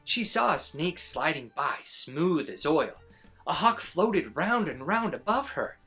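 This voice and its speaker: Animated woman storyteller, unhurried. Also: deep voice, soft background music. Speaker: children's storyteller. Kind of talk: expressive read-aloud narration of a picture book.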